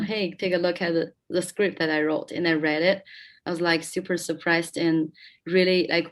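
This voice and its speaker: A woman film producer speaking earnestly in a video interview, often restarting sentences and leaning on "like". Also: fairly low pitch.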